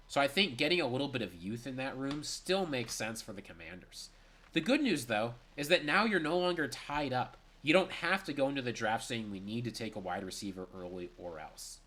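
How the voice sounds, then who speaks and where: A man's voice: medium pitch, bright, forceful tone. A young man with a stuffy, congested voice, giving a solo reaction and analysis of sports news. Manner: brisk and analytical, with emphatic stress.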